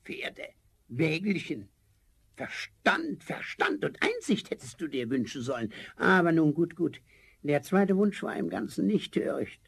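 A tiny old glass spirit of the forest for a fairy-tale radio play. The voice is thin, high and cracked with creak, swooping wildly in pitch as he chides in a kindly, grandfatherly way. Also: very animated, unhurried, a bit bright, heavily acted. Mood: exasperated scolding. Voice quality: thin, delicate, raspy. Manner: chuckling, chiding, grandfatherly.

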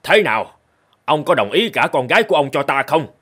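A man's voice: on the high side, big pitch swings, a little fast, a bit bright, slightly rough, faint music behind, full character voice. A man voicing a proud, lovestruck and gullible lion in a cartoon fable, boastful and demanding. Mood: haughty, insistent.

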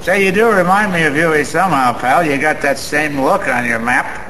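An actor playing a boozy, sentimental, boastful small-time gambler in a radio drama, rambling in old-fashioned street slang with a gravelly voice. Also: male voice, a little high, moderate pace, pushed and bright, rough recording. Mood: chummy. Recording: faint background noise.

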